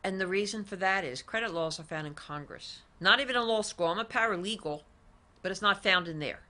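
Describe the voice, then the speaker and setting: A woman's voice: mid-range voice, brisk, sharp tone. A woman hosting a direct-to-camera talk show, telling stories emphatically and repeating her key points. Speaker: talk show host and credit advisor.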